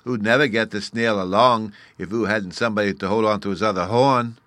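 A man's voice: on the low side, steady tone, brisk, fairly bright tone, dry recording, lightly acted. A man voicing a tiny, earnest and proud boy fairy from a storybook, scornful at times, in childish baby talk with mispronounced words. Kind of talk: storybook character reading.

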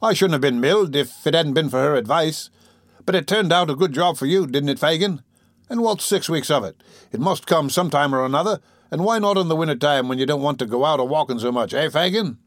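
A man's voice: a little high, a little fast, no echo, clean studio sound, natural voice. A man voicing a touchy, boastful, dim-witted young thief in a storybook, insistently pressing questions.

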